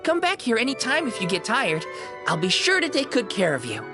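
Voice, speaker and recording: A woman's voice: on the high side, wildly swooping pitch, brisk, music in the background. A woman voicing a polite, effusive living stuffed toy who works as a concierge in a video game. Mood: delighted, attentive. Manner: courteous, theatrical, effusive. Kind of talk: scripted video game character acting.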